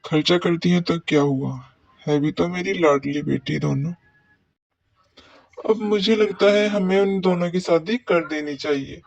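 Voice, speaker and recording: High-pitched man as a doting cartoon father. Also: unhurried, vocal fry, no echo, dull audio. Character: doting, middle-aged rich merchant father, indulgent and decisive. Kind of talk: cartoon dialogue.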